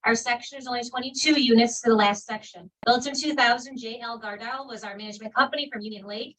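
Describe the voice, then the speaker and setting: A woman on a village council reading residents' letters into the record, in a loud, clear voice at a public meeting. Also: fairly high pitch. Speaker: council member. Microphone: distant from the microphone. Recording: speaker far from the mic.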